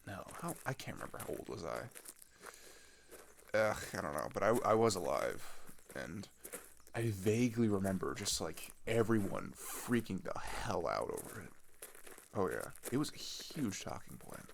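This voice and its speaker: A young man playing a chatty, excitable teenage runaway in an ASMR audio roleplay, rambling and halting in casual slang as he tells road stories. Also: low voice, vocal fry, a little hiss or hum, full character voice. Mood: subdued, reflective. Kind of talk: improvised-sounding ASMR audio roleplay monologue.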